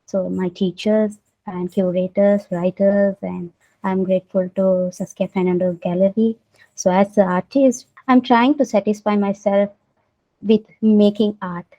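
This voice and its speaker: A woman with a Sri Lankan accent speaks in a warm, mellow voice. She talks hesitantly, filling gaps with little uhs as she looks for the right words. The recording sounds dull and lo-fi. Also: medium pitch, little pitch movement, unhurried. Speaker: interviewee, artist. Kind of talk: casual interview answers.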